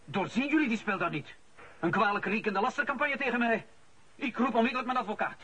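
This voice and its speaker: An actor playing an indignant, defensive civil servant under suspicion in a radio play, haughty and protesting. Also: male voice, extremely high pitch, fast talker, bright, forceful tone, a little hiss or hum.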